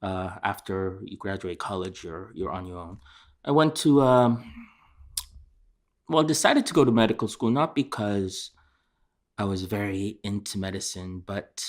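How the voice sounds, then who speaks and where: A middle-aged chef from Korea, answering interview questions in a hesitant way with many fillers and restarts. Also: male voice, fairly low pitch, steady tone, measured pace.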